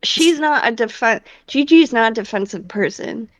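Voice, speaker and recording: A woman's voice: a little high, expressive, normal speed, dry recording. A woman playing a tabletop roleplaying game, casually narrating and discussing her character's moves, on lo-fi audio.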